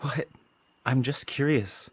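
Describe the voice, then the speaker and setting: A man's voice: mid-range voice, slow pace, slightly rough, dry recording. A male actor playing a curious, frightened son in a post-apocalyptic audio drama. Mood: curious, hesitant.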